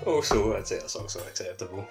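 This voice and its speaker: A man's voice: deep voice, animated, quick pace, vocal fry, faint music behind. A man co-hosting a gaming podcast, chatting informally and peppering his talk with "like". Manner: informal, frequent "like". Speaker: podcast co-host. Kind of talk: casual chat on a gaming podcast.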